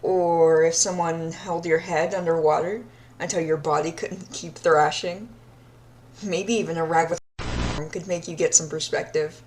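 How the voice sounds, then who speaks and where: Woman acting a low-voiced, deranged lovestruck captor. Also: steady tone, moderate pace, darkish tone, a little hiss or hum, close to an everyday voice. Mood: dreamy menace. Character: deranged, obsessive yandere captor, sadistic yet childlike and lovestruck. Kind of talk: audio roleplay monologue, recorded diary confession.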